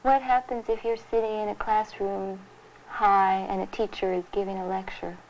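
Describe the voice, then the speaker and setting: A woman's voice: mid-range voice, flat delivery, normal speed, a little mellow, low-quality recording. A woman interviewer asking plain, direct questions in a neutral manner for a documentary. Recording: 1970s film interview, faint noise.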